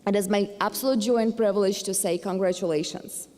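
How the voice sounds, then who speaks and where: A middle-aged woman with a Ukrainian accent delivers a commencement address on behalf of a university board. She speaks earnestly at a middling pitch, now and then stumbling into fillers and restarts. Her voice carries a long reverb from a large hall. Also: fairly even intonation, brisk, darkish tone. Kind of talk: formal commencement speech.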